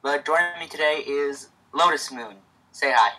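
A man's voice: fairly high pitch, moderate pace, sharp tone, rough recording. A man with a stutter hosting a casual reading of a fan story, with many restarts.

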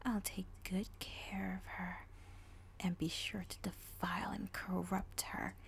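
A woman voicing a sinister dark female pony spirit, a gloating villain who talks in a slow, taunting, hissing whisper. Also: lowish voice, little pitch movement, gravelly. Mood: menacing.

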